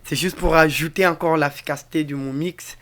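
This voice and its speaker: A man with a Congolese French accent giving an informal music mixing tutorial in a halting, repetitive way, addressing viewers directly. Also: on the high side, steady tone, a bit bright. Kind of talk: informal tutorial with on-the-spot demonstration.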